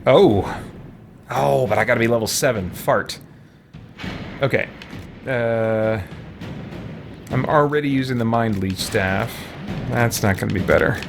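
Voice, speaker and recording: A man's voice: medium pitch, measured pace, gravelly, pauses often. Man's casual gameplay commentary over music. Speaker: gaming video host. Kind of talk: casual let's play commentary, thinking aloud. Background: game music.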